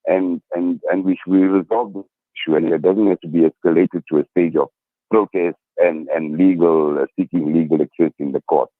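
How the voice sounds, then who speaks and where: Deep man on tinny phone. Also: steady tone, moderate pace, no room sound. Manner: earnest, repeats words and phrases. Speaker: interview guest, local community representative. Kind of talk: phone interview. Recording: tinny narrow-band phone line.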